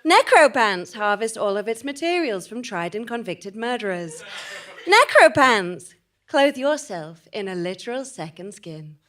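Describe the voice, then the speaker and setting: Woman, fairly high-pitched, polished announcer for spoof radio commercials. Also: sing-song, measured pace, a bit bright. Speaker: advert announcer. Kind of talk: scripted spoof radio advert.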